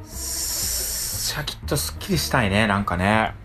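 A man with a western Japanese accent chats as a podcast co-host over light background music. His intonation is lively and wide-ranging, and he often circles back to repeat a phrase as he prompts his partner. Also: fairly low pitch, unhurried. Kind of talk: casual banter on a livestreamed radio show. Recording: background music under the talk.

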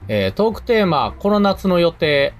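A man's voice: high-pitched, very animated, moderate pace, soft background music. A man with a Kansai accent co-hosting a radio show, bantering casually and reading out listener mail in a colloquial way.

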